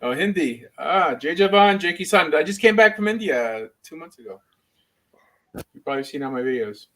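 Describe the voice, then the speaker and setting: A man's voice: on the high side, expressive, normal speed, a bit bright. A man speaking casually as a rabbi and livestream host, rambling and opinionated in an informal way. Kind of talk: casual livestream chat and debate.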